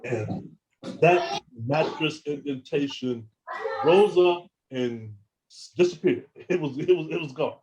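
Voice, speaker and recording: A man telling his own experiences as an interview guest, halting and often repeating words as he restarts sentences. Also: a little high, lively intonation, lo-fi sound, background noise. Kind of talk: casual first-person account.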